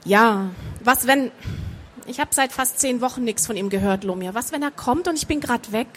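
Woman voicing a blunt, stubborn hard-drinking heroine, fairly high. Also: normal speed, fairly bright tone, a little hiss or hum, close to an everyday voice. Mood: anguished. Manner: blunt, defiant, colloquial.

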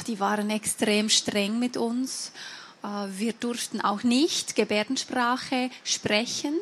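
Swiss woman speaking deliberately, somewhat high. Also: a little hiss or hum. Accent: Swiss German. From Switzerland. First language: Swiss German Sign Language. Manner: measured, deliberate speech with frequent hesitations. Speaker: guest speaker at a book fair event. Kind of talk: personal storytelling to an audience.

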